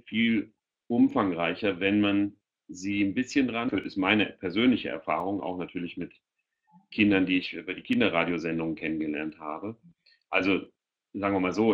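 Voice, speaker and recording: A middle-aged man talking informally as an expert in an online discussion, speaking into a headset. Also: deep voice. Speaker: expert panelist. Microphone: headset on a video call. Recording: dull, lo-fi video call audio.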